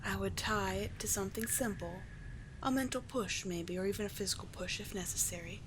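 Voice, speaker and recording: A woman voicing a doting, teasing sea witch mermaid in an ASMR roleplay, soft and breathy, often near a whisper, with soothing, formal storybook phrasing. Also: medium pitch, fairly quick, noisy background, close to an everyday voice. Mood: patient, coaxing.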